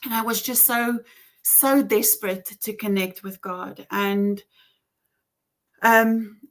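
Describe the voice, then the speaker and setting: A woman with a heavy Afrikaans accent speaks slowly and reflectively, pausing often on ums. Her voice sits at a middle pitch for a woman. She tells her faith story in an earnest, searching way. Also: steady tone. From South Africa. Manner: earnest and reflective, with frequent ums and soft laughs. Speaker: interview guest. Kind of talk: personal faith testimony.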